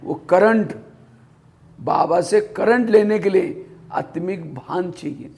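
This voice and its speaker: A man's voice: high voice, takes it slowly, a little mellow. A man giving a spiritual class, telling anecdotes and asking rhetorical questions that he answers himself. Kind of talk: Hindi spiritual discourse.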